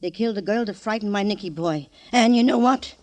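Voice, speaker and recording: A woman's voice: mid-range voice, a little fast, close to an everyday voice. An actress playing a hard, domineering older mother of a gangster, scolding and sardonic, in an old radio crime drama. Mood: menacing.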